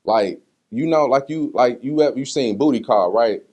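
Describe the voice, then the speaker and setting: A man's voice: mid-range voice, moderate pace. A man talking casually and candidly as an interview guest, in slangy conversational speech, acting out what people said as he tells stories. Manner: slangy, conversational, animated retelling with acted-out quotes. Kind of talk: casual candid interview talk answering personal questions.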